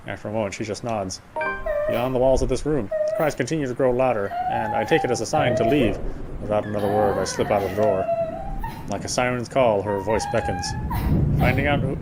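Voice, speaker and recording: Man narrating horror over background noise. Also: mid-range voice. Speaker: playthrough host. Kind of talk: dramatic read-aloud narration of a horror game.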